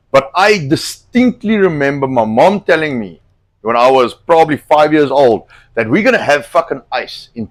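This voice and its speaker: Blunt, swearing middle-aged male cooking host. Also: mid-range voice. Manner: rambling, blunt, swears a lot. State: slightly hoarse from a cold. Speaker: host cooking on a live stream. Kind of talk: casual profane chat while cooking.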